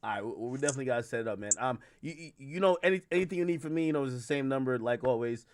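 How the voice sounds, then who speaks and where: A man's voice: medium pitch, expressive, rapid-fire. A man from Jamaica hosting a livestream, chatting casually in hip-hop slang, rambling and often stammering as he circles back over his words. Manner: rambling, repetitive, frequently stammering mid-thought. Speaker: livestream host interviewing guests by call.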